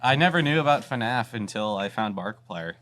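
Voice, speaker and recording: A man's voice: lowish voice, expressive, a little fast, a bit bright. A man joking around casually as a member of a group chat. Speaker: panel member.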